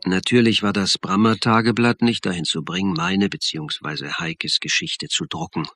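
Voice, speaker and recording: An actor playing a middle-aged, conscientious and intense amateur sleuth in a German radio crime drama, husky and earnest. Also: male voice, low voice, fairly even intonation, normal speed, fairly bright tone, no echo. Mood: somber, reflective.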